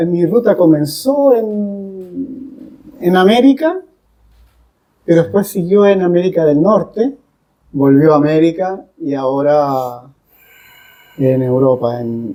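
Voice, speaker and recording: Podcast guest, male. Relaxed, mellow tone, unhurried and measured, pausing between short phrases. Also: a little high, low-quality recording. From Chile. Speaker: guest, English teacher. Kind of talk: reflective podcast conversation.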